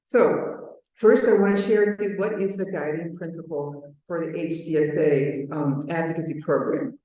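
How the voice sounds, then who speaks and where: A woman from Chicago with a booming voice, giving an earnest conference talk on advocacy and repeating her key phrases for emphasis. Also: steady tone, moderate pace, mellow. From Chicago, US Midwest. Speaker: advocacy program manager, conference speaker. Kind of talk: presentation to a conference audience. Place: large echoey conference room. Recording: very echoey hall, voice far from mic.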